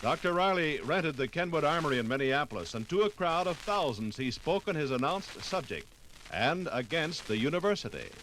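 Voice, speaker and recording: A man's voice: a little high, sing-song, fairly quick, a bit bright, a little hiss or hum, poor audio. An American man reading scripted 1950s radio documentary narration in a formal, grave manner.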